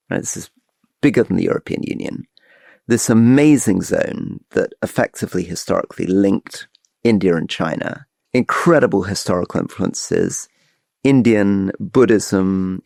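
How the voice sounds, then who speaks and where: A man's voice: low voice, vocal fry, darkish tone, no room sound, studio quality. A middle-aged man co-hosting a podcast, explaining eagerly and restarting his sentences as he goes. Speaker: podcast co-host. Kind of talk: casual political chat.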